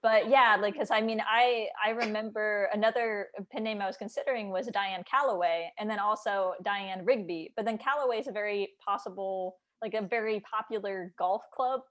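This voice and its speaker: A woman speaks in a casual, chatty way at a medium pitch. Her talk is informal and full of little quoted exchanges that she voices as she goes. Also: moderate pace, hardly any pauses, fairly bright tone. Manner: informal, chatty, quoting imagined dialogue. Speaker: book editor. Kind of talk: casual chat.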